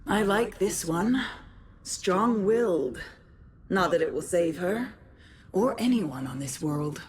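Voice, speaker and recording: Slow, low, menacing possessed tyrant. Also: female voice, lively intonation, darkish tone, slight background noise. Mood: amused menace. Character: malevolent godlike Sith Emperor speaking through possessed woman. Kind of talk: video game villain acting.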